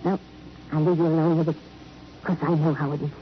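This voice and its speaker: A woman's voice: deep voice, flat delivery, fairly quick, close to an everyday voice. A woman playing a world-weary hotel keeper in an old radio crime drama, musing in a sardonic, insinuating way. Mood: needling, weary. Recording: muffled old radio recording, faint noise.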